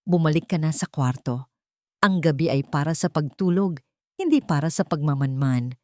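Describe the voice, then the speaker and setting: A woman narrator plays a cold and menacing husband from a Tagalog-style melodrama audiobook. The voice is low, slow and deliberate, full of scorn. The sound is close and dry. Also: lively intonation, a little mellow, no room sound. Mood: cold, dismissive. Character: cold, menacing, mocking husband of a rich mansion. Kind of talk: audiobook drama dialogue.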